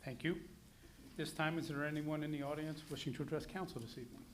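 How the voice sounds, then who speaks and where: A man chairing a council meeting, calling speakers in a brisk, procedural way. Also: a little high, fairly even intonation, a little hiss or hum. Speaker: council president. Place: council chamber.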